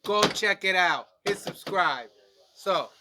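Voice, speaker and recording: A man co-hosting a casual review chat, with a stuffy, nasal voice from allergies and a relaxed, chatty manner full of "um"s. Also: high-pitched, slow pace, slight background noise. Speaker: podcast co-host.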